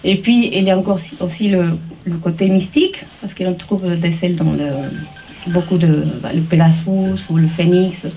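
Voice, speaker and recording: Woman artist, Mexican accent, soft-toned, earnest and halting public speech, recorded at a distance. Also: on the low side, steady tone, faint music behind. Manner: halting, searching for words, earnest. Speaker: artist, paper sculptor. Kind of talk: informal speech at an exhibition opening. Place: exhibition opening, speaking to guests. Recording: distant microphone, noisy room ambience.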